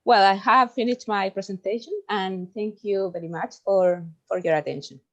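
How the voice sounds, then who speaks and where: A young Chilean woman, a native Spanish speaker, carefully reading a research presentation in English with halting ums. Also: mid-range voice, dull audio.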